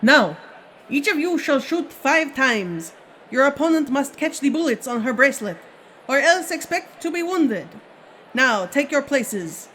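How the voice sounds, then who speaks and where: A woman's voice: high-pitched, very animated, measured pace, a bit bright, a little hiss or hum, full character voice. A woman playing a protective, commanding Amazon queen in a stately, formal style of 1940s radio serial acting. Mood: commanding, proclaiming.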